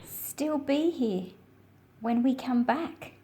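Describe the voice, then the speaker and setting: Female children's read-aloud presenter, fairly high voice, addressing young listeners directly. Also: takes it slowly, dark tone.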